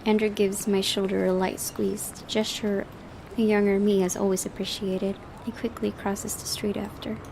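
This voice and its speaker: A woman's voice: mid-range voice, little pitch movement, normal speed, gravelly, a little mellow, slight background noise, poor audio. A woman hosting a gaming video, reading visual novel narration aloud and adding casual commentary.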